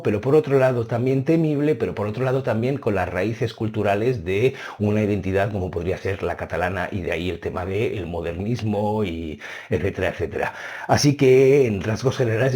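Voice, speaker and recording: A man, a native Spanish speaker, casually reviewing books he has read, animated and stressing key words. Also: on the low side. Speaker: book reviewer.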